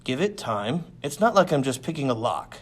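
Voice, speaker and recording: A man's voice: mid-range voice, very animated, moderate pace. Man playing a curt, exasperated space outlaw. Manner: curt, snappy, commanding. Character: cocky, exasperated male space-adventurer outlaw. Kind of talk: audiobook character voice.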